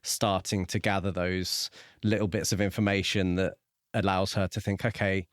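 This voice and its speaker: A man co-hosting a podcast, discussing a book casually in a measured, reflective and explanatory way. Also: low voice, little pitch movement, dry recording, clean studio sound. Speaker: podcast co-host.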